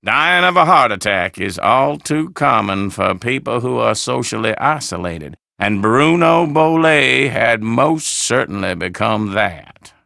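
A creaky, courtly old Southern gentleman. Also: medium pitch, big pitch swings, dry recording, studio quality, heavily acted. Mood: genteel, reflective. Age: older. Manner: florid, courtly, drawn-out phrasing. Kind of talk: video game character dialogue.

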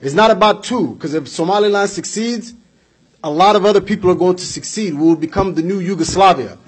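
A young man raised in the United States delivers a passionate political speech into a podium microphone. His voice sits high and carries a husky edge. He leans on repetition and rhetorical questions to press his argument. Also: a little fast. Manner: emphatic, repeats points for effect, gestures while speaking. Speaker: speaker at a community event. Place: indoor hall with flags behind. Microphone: podium microphone on a stand.

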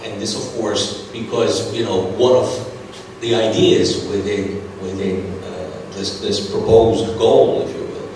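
A middle-aged man speaks on stage at a conference, pausing often with fillers and restarts. He sounds far from the recorder, and the sound rings around a big, reverberant hall. A faint hum sits behind him. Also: fairly low pitch, steady tone, darkish tone. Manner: hesitant, many fillers and restarts. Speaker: conference presenter. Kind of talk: conference Q&A discussion. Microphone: stage mic, recorded from far off.

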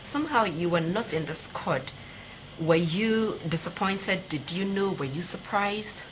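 A woman's voice: fairly low pitch, animated, unhurried, slight background noise, low-quality recording. A young woman with a Ghanaian accent hosting a TV sports interview, asking probing questions conversationally. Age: young adult. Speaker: sports show host, interviewer. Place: TV studio, seated at a desk.

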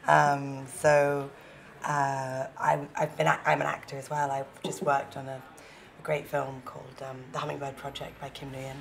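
A low, flat, gravelly woman's voice, speaking with earnest care and small restarts. She is a director in her thirties, seated indoors on a lapel mic. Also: moderate pace, a little hiss or hum. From London, England. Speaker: film director and actor, interview guest. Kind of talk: casual interview answers. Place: seated indoors in a room with a leather sofa.

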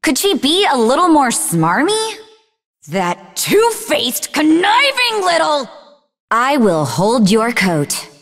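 An actress voicing a sarcastic, sharp-tongued, bookish young half-demon woman in scripted video game dialogue, with a dry delivery. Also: high voice, huge pitch swings, slow pace, long reverb tail, full character voice. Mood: angry. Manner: dry, sardonic.

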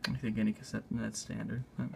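A man narrating a casual hands-on tutorial in a halting, unscripted way, thinking aloud as he works. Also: fairly low pitch, flat delivery, creaky, background noise. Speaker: video maker demonstrating a repair. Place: indoors at a tabletop.

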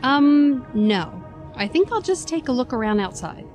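A woman's voice: sits high, very animated, measured pace, darkish tone, soft background music. A woman playing a wry, practical adventuring companion in an audio drama, dry and questioning.

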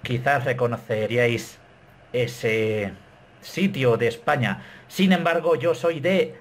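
A Spanish man in his thirties with a Castilian accent, talking to his webcam in a small bedroom, animated and self-mocking. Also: mid-range voice, takes it slowly. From Spain. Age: 30s. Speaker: YouTuber. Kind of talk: casual vlog to camera.

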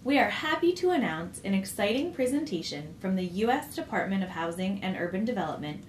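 A woman working as a voice-over interpreter, reading a public service announcement clearly and at an even pace. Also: mid-range voice, brisk. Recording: echoey room with faint ambient hiss.

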